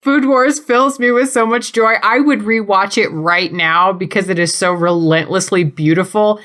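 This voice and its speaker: A woman's voice: medium pitch, moderate pace, fairly bright tone. A woman co-hosting a podcast, chatting casually with the panel. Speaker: podcast co-host.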